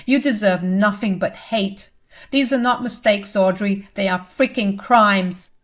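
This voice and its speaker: A woman voicing an angry older mother who loudly scolds her grown daughter. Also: mid-range voice, steady tone, a little slow, natural voice. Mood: furious. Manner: loud, scolding.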